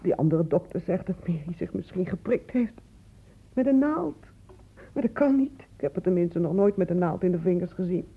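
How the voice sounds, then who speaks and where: A woman's voice: lowish voice, expressive, normal speed, warm tone, breathy edge. An actress playing the bewildered, defensive mother of a sick teenager in a Dutch radio drama, hesitant, on a muffled old recording. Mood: bewildered, anxious. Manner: confused, hesitant. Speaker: actor in a radio play.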